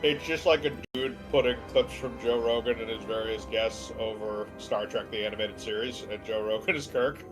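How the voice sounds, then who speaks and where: A man's voice: on the low side, moderate pace, few pauses, low-quality recording. A man co-hosting a game stream, chatting in an informal, joking way over the gameplay. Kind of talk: casual chat over gameplay. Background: game music.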